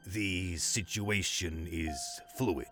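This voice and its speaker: A man acting a grave senior official in a dystopian radio drama. His very low voice moves slowly through formal, clipped phrases, with prominent music underneath. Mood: stern, guarded. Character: grave, authoritarian senior male propaganda official.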